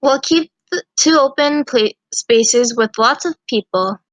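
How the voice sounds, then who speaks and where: A woman doing an amateur reading of character dialogue, with a halting delivery that sometimes restarts a line. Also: high-pitched, no room sound. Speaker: reader voicing female characters in a fan visual novel.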